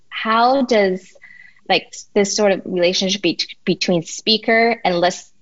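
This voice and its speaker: A woman from Taiwan whose first language is Mandarin, speaking English as a podcast guest in a reflective, halting way with many restarts. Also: mid-range voice, a bit bright, dry recording, highs cut off. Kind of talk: casual interview.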